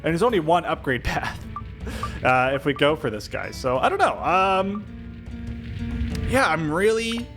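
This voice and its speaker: A man giving casual video game commentary, thinking aloud as he weighs his options. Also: a little high. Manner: thinking aloud, indecisive musing. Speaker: video game streamer doing a let's play. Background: video game music.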